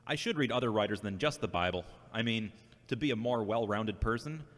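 A man's voice: lowish voice, fairly quick, a bit bright, close to an everyday voice. A man playing an earnest, devout, searching younger brother who questions himself, in an amateur audio drama. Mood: earnest, reasoning. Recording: reverberant hall-like sound.

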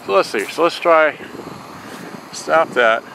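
A man's voice: a little high, animated, slow, creaky. A man rambling casually and muttering to himself as he shows off an item he is selling, speaking unscripted outdoors. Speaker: seller demonstrating a repaired boombox. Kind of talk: casual unscripted product demo. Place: outdoors in a grassy open yard. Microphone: handheld camera, speaker behind it. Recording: loud wind and outdoor noise.

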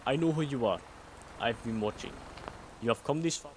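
A man playing a secretive, guarded synth copy of a Soviet spy in a game mod, explaining in a measured way. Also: medium pitch, natural voice. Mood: secretive, matter-of-fact. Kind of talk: scripted game mod dialogue. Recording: noticeable background noise.

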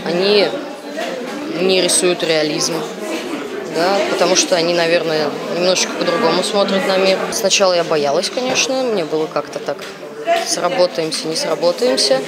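A woman's voice: lowish voice, moderate pace. A young woman giving a spontaneous interview into a handheld mic in a large hall. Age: young adult. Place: large busy library hall. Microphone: handheld TV reporter mic. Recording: loud busy crowd in large hall. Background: loud crowd chatter.

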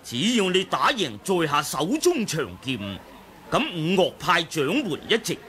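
A smug, mocking sect leader. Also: male voice, a little high, very animated, unhurried, bright, forceful tone, heavily acted. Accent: Hong Kong Cantonese. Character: scheming powerful sect leader, middle-aged man, smooth and mocking. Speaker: sect leader seeking to head the alliance. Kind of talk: 1980s radio drama acting. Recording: old radio broadcast with music and crowd behind.

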